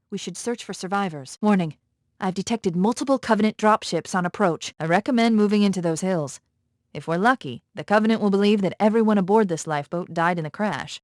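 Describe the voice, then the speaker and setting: A quick-witted female AI companion from a military video game, played by a woman, speaks in a very dry recording at a medium pitch. She is crisp and analytical, giving commanding advice with calm urgency. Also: no room sound, lightly acted. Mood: brisk, focused.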